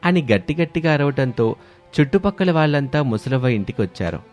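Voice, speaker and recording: A man's voice: on the high side, animated, brisk, a little mellow. A man narrating a scripted moral story. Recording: music behind the voice.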